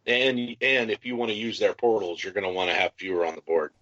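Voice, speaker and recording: A man's voice: on the low side, flat delivery, fast, a bit bright, no room sound, lo-fi sound. A man co-hosting a gaming podcast, talking strategy casually and emphatically, restating his points. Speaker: podcast co-host. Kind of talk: casual tabletop game strategy talk.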